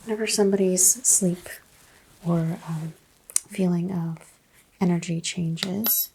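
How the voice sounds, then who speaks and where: Soft-spoken woman, low creaky ASMR doctor. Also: steady tone, a little hiss or hum, poor audio. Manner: soft-spoken, slipping into whispers, unhurried questioning. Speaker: ASMR performer playing a doctor doing a sleep exam. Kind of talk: ASMR medical exam roleplay.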